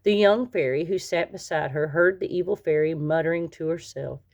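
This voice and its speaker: A woman narrates a children's storybook in a slightly low voice. She reads aloud expressively, putting weight on important words as a storyteller does. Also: normal speed, gravelly, a little mellow, dull audio. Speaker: storybook reader.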